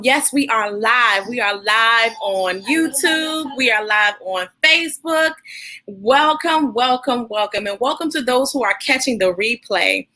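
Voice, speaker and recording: Woman, higher-pitched, very bright forceful tone, animated, encouraging, children's read-aloud host.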